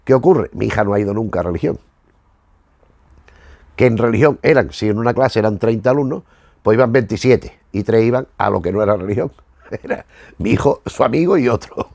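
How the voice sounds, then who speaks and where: A man's voice: lowish voice, expressive, a little fast, gravelly, darkish tone, dry recording. A middle-aged man with a Spanish accent from Spain, giving unscripted commentary in a rambling, digressive, self-correcting way. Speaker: host of a retro television review channel.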